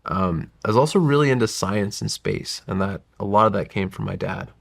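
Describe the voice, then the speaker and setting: Low, gravelly man telling his story. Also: fairly quick. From United States. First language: Gujarati. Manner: reflective, winding, self-correcting narration. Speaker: podcast guest, entrepreneur. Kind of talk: casual interview storytelling.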